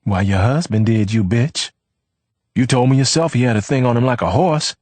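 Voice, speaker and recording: A husky-voiced man playing a hot-tempered young man who taunts with coarse street talk in a storybook reading. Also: mid-range voice, moderate pace, a little mellow, dry recording, voice pushed up, lightly acted. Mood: cruel.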